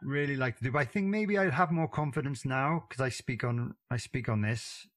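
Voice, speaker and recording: Modest Welsh man chatting. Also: mid-range voice. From Wales. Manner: modest, self-effacing, halting restarts. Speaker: drummer, interview guest. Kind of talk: casual interview chat.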